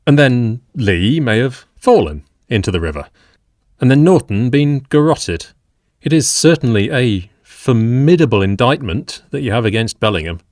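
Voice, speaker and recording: An actor playing a level-headed, sceptical Victorian gentleman and avuncular friend in an audio drama, reasoning in formal period speech. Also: medium pitch, lively intonation, normal speed, no room sound, studio quality, close to an everyday voice.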